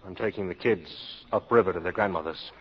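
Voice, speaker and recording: Uneasy, low-voiced secretary. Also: male voice, fairly quick, breathy edge. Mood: uneasy, apologetic. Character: deferential, uneasy mayor's secretary. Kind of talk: 1950s radio drama acting. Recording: muffled 1950s radio broadcast.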